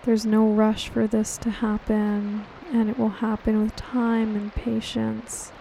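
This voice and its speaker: A woman leads a guided meditation in a calm, gentle, reassuring and unhurried way, as soothing scripted narration. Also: fairly high pitch, gravelly, slight background noise, low-quality recording. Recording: steady rain on water behind voice. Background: rain falling on water.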